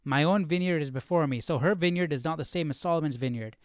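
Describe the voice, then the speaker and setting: A man's voice: on the high side, quick pace, soft background music. A man hosting a live Bible study, thinking aloud in casual commentary and puzzling through questions as he goes.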